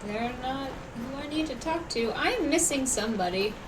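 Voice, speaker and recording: A woman's voice: sits high, lively intonation, moderate pace, noisy background. A woman live-streaming a game, reacting casually over the game's music. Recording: distant mic under game music.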